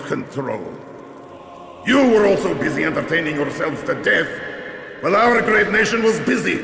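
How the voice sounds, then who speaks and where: An actor playing a gloating Soviet spymaster in a video game, menacing and deliberate. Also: male voice, on the high side, vocal fry, full character voice. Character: gloating Soviet spymaster villain revealing his betrayal. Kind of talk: theatrical video game villain acting. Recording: echoey hall, loud music behind.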